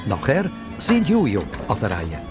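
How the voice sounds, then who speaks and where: A man with a Swiss German accent narrating a children's radio play in an animated storytelling style. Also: mid-range voice, moderate pace. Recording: music behind the voice.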